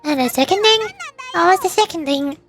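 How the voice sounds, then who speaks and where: A woman's voice: very high-pitched, animated, fairly quick, over music, pitched above the performer's own voice. A woman voicing a small, kind fairy companion from a video game, eager and reassuring. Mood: curious. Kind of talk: video game dialogue read aloud.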